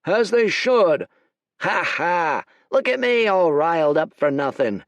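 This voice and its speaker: A man voicing a boisterous, boastful army general who shouts his words. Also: sits high, lively intonation, slow, no room sound, pitched above the performer's own voice, heavily acted. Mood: exultant. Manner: loud, boastful, laughing.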